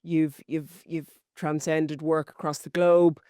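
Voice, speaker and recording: A woman hosting an interview podcast, encouraging and warm, often repeating words as she finds her phrasing. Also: deep voice, flat delivery, slow, no room sound, studio quality. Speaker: podcast host.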